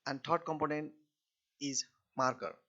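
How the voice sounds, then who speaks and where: A man giving an explanatory technical lecture as a lecturer, in halting, repetitive phrasing with restarts. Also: fairly high pitch, steady tone, fairly bright tone. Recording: dull, lo-fi recording.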